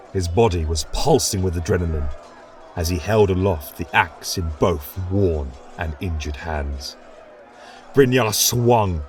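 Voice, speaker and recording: A man reading a fantasy story aloud as a narrator, in a measured, dramatic way. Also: low-pitched.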